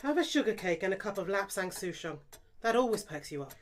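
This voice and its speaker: A woman's voice: mid-range voice, expressive, a little fast, lightly acted. A woman playing a cheerful, practical, eccentric tinkerer in an audio drama, chatty and affectionate in manner. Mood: soothing, practical. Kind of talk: scripted audio drama acting.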